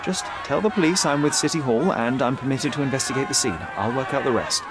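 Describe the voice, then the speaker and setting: An actor playing a self-assured young detective in a video game, articulate, polite but pointed and persuasive. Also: male voice, medium pitch, quick pace, a loud crowd, very noisy, lightly acted. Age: young adult. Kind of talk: video game cutscene acting.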